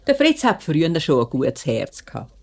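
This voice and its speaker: A woman's voice: deep voice, wildly swooping pitch, moderate pace, gravelly, a little mellow, heavily acted. An actress playing a worrying, grumbling older mother with a Swiss German accent, nagging fretfully in a 1950s radio drama style. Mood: worried, grumbling. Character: worrying, grumbling old mother of a grown worker.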